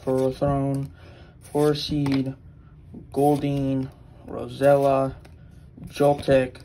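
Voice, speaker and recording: A man's voice: mid-range voice, monotone, creaky, dark tone, poor audio. A young man casually listing trading cards as he flips through packs, eager for a good pull. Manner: rattles off card names in a quick list, eager. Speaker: channel co-host. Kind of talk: casual card-opening chat.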